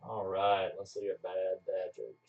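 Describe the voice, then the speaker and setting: A man's voice: low voice, little pitch movement, unhurried, gravelly. A man casually reading out gags and bantering with a group. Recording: muffled, roomy, far from the mic.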